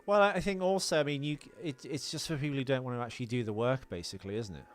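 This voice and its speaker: A man with a medium-pitched voice talks as a podcast co-host. His delivery is casual and conversational, with a joking manner as he goes through film trivia. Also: racing.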